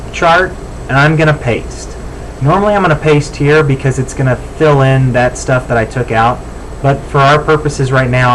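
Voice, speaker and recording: A man's voice: mid-range voice, low-quality recording. American man giving instructions over background noise. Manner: informal, step-by-step. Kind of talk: software walk-through tutorial.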